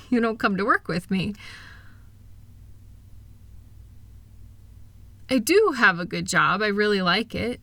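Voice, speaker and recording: Bright, close-up voice of a reassuring wife, acted by a woman. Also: medium pitch, lively intonation, moderate pace, no echo. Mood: gently firm. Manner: gentle, earnest, encouraging. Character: kind, reassuring wife comforting her struggling partner. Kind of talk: intimate scripted roleplay audio, one-sided conversation.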